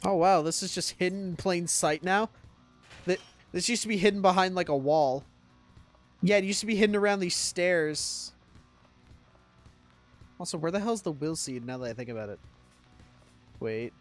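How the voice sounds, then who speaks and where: A woman's voice: on the low side, normal speed, pauses often. A young adult woman giving casual, jokey live commentary on a video game, full of rambling asides. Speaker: game streamer. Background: video game music.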